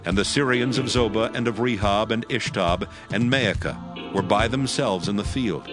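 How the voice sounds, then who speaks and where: A man narrates scripture in a measured, solemn way with a fairly low voice. A clear music bed runs beneath his reading throughout.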